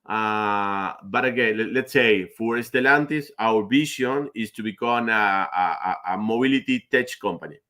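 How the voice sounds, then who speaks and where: Halting Spanish-speaking man on a call. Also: fairly low pitch, sharp tone. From South America. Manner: halting, many restarts and repeated words. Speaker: guest, finance manager. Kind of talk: live video-call interview. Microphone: video call.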